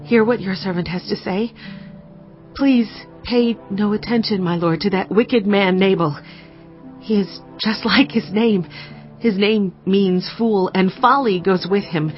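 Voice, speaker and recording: A woman acting a humble, wise noblewoman in a scripture audio drama. She speaks earnestly and reverently in measured phrases at a medium pitch. Music runs behind the slightly muffled recording. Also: lightly acted. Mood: earnest pleading.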